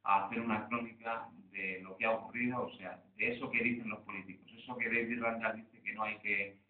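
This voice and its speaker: A man speaking as a journalist on a conference panel, explaining at a measured pace with frequent hesitant fillers and talking straight to the audience. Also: on the low side, flat delivery. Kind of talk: round-table conference talk. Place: conference hall. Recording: echoey hall, far from mic, phone-like lo-fi sound.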